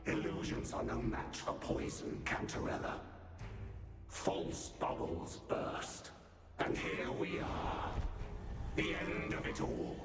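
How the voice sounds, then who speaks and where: An actor playing a bitter, scheming older nobleman shade in a video game, breathy and taunting with slow, deliberate delivery. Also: medium pitch, sing-song. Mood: bitter threat. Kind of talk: dramatic video game voice acting. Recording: echoing voice under loud music.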